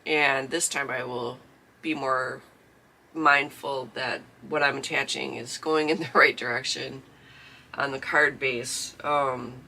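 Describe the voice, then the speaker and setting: A man's voice: medium pitch, steady tone, slow pace, vocal fry, pushed and bright. A man from southeastern Michigan hosting a livestreamed crafting class, chatting casually with fillers, his voice scratchy from allergies. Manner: rambling, chatty, many fillers. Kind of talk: casual livestream chat before a lesson.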